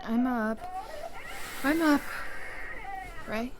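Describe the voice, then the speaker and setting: A woman playing a gentle, tired young mother and caring wife in a naturalistic audio drama. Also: on the high side, extremely slow, background noise. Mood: groggy.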